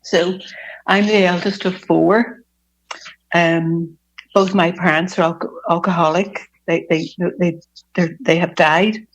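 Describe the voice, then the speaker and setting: A woman's voice: fairly low pitch, steady tone, slow, poor audio. A middle-aged woman from Northern Ireland with a Belfast accent, sharing her personal testimony at a recovery fellowship meeting in an earnest, reflective way with frequent hesitations.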